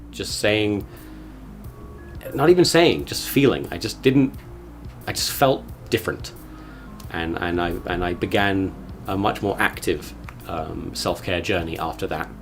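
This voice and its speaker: A man talking to his audience in a confessional, partly scripted vlog, with a self-deprecating way of speaking. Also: mid-range voice. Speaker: video creator documenting his weight loss.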